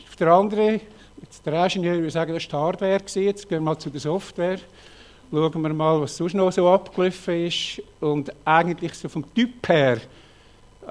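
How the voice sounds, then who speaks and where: A man in his late fifties with a Swiss German accent, frankly telling his own story in a talk, halting often with fillers. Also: a little high. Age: 50s. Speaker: speaker giving a personal testimony. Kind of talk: personal testimony talk with slides.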